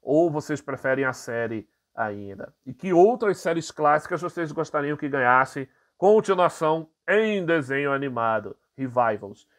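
A man's voice: a little high, lively intonation, normal speed, few pauses. A man with a Brazilian Portuguese accent hosting his channel, reading entertainment news aloud and commenting casually with frequent fillers. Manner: casual, many fillers, reads articles aloud then comments. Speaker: channel host.

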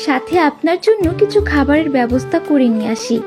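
Fairy-tale cartoon castaway girl female actor: young, high voice, earnest and polite, clear music bed. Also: very animated, fairly quick. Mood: caring. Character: young island girl, lone castaway, caring. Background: music behind the voice.

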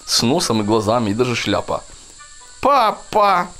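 Chatty man with lively pitch over music. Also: moderate pace. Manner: chatty, addresses viewers often. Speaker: gaming video host. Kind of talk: casual gameplay commentary for children. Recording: game music behind the voice.

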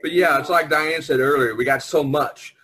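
Husky-voiced man chatting casually. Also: on the high side, normal speed, a bit bright, poor audio. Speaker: podcast host. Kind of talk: casual group video-call chat. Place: online video conference.

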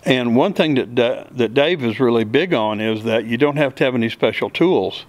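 A man's voice: mid-range voice, normal speed, no room sound. A middle-aged man, a builder and pilot, answering interview questions in a plain, step-by-step way with many fillers, recorded on a handheld mic in an open hangar. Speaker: aircraft builder and pilot guest. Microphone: handheld mic held by interviewer.

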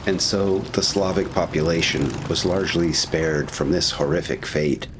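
A man with a low voice reads historical documentary narration. His delivery is measured and serious. Ambient vehicle noise runs behind him.

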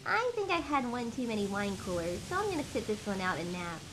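A woman voicing a feisty, affectionate, excitable teenage superheroine in a fan comic dub, with expressive delivery. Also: medium pitch, a little fast, background noise, close to an everyday voice. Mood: casual. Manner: expressive, exclamatory.

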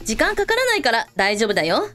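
Female hotel boss, radio drama, acted by a woman, highish animated delivery, confident, caring, faint music behind. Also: quick pace. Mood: reassuring. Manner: casually authoritative, blunt with staff. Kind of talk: scripted radio drama acting.

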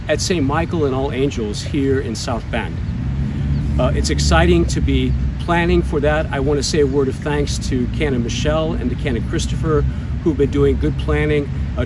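An American man in his sixties speaking as a bishop, giving a pastoral message in a measured, earnest way with some hesitations. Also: medium pitch. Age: 60s. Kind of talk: scripted pastoral announcement to camera. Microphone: lapel mic. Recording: clear outdoor traffic and ambient noise.

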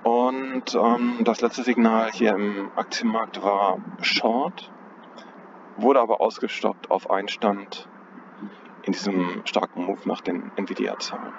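A man's voice: fairly low pitch, fairly even intonation, slow pace. A man casually talking through trading charts, thinking aloud and hesitant, often correcting himself, recorded outdoors on a cold day. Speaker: trading analyst. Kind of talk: casual chart analysis commentary. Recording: dull lo-fi audio with outdoor noise.

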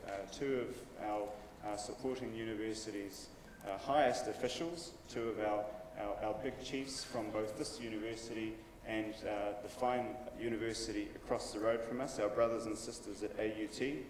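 A man in his forties emcees a conference, easygoing and wry, stumbling through plenty of ums and uhs. His slightly low voice sits a little back from the mic. The sound rings through a big reverberant hall with faint hiss underneath. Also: normal speed, few pauses, recorded from a distance. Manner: relaxed, joking, many ums and uhs. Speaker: event MC. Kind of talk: housekeeping announcements at a summit. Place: conference room, at a lectern.

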